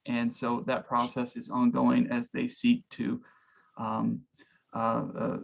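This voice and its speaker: A man from Oklahoma, a pastor, giving earnest, reflective and somewhat halting opening remarks over a video call. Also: medium pitch, creaky, a bit bright. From Oklahoma, United States. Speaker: pastor, guest speaker. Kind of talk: informal opening remarks of a talk. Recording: dull, lo-fi video call audio.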